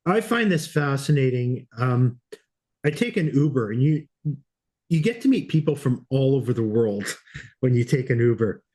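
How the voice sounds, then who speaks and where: A man's voice: medium pitch, animated, moderate pace, mellow. A man hosting a podcast interview in a casual, conversational way.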